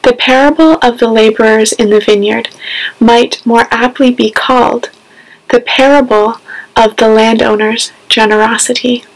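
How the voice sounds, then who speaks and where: A woman's voice: a little high, steady tone, moderate pace, rough recording. A woman, reading a scripted scripture lesson with careful phrasing. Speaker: scripture reader. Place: small home room, indoors.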